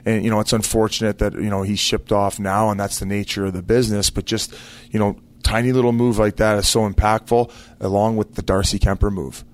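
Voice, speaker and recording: Guy doing sports analysis, lowish voice, loose and informal, just chatting. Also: flat delivery, brisk. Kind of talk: casual sports chat.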